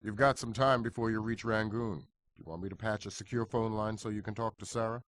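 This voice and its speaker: Man as a sober, low-pitched spymaster. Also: steady tone, fairly bright tone, natural voice. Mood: businesslike. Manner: measured, matter-of-fact. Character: sober male spymaster briefing his agent by radio. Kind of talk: video game cutscene acting.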